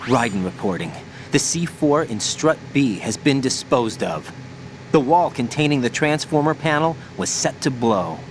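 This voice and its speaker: An actor voicing an earnest, questioning young special agent in a video game, with clipped military delivery. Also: male voice, mid-range voice, animated, normal speed, background noise, natural voice. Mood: focused, questioning.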